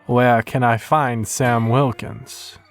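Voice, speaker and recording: Man voicing a slow, gravelly, menacing gunslinger. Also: mid-range voice, soft background music. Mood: cold, deliberate. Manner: flat, slow, deliberately articulated. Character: towering menacing gunslinger stranger, cold and inhuman.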